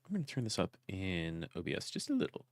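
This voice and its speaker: A man's voice: deep voice, huge pitch swings, fast talker, creaky, no echo, clean studio sound. A man with a stuffy nose giving casual, chatty commentary while playing a game, swearing and incredulous.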